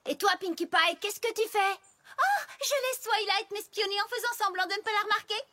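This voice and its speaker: A woman voicing a bubbly, hyper young female pony in a French cartoon dub, with an excitable sing-song manner. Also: very high voice, a little fast. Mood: playful. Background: loud cartoon music.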